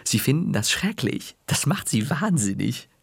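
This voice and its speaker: A man's voice: mid-range voice, very animated, a little slow, gravelly, husky, dry recording, clean studio sound, full character voice. A man voicing a boastful, mischievous schoolboy big brother in an audiobook reading. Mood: scheming. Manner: boastful, scheming.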